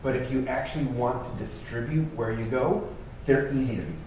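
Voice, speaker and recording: Male panelist, measured, distant reverberant room. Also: mid-range voice, a little mellow. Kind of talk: panel discussion. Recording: echoey hall from afar, noisy.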